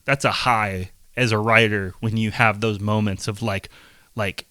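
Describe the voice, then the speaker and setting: A man co-hosting a podcast in casual chat, earnest and reflective, with a voice turning raspy from being sick. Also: fairly low pitch, fairly even intonation, moderate pace, pushed and bright, no echo. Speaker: podcast co-host.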